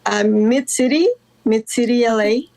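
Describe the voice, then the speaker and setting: A woman from Guatemala, a guest artist, talking casually in an interview with many fillers and soft laughs. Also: on the high side, a little slow, dry recording. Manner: rambling, lots of fillers and laughs.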